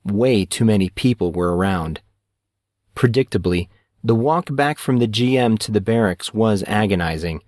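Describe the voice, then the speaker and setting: Low-pitched male audiobook narrator. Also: lively intonation, moderate pace, darkish tone, dry recording, studio quality. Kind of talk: scripted dramatic story narration.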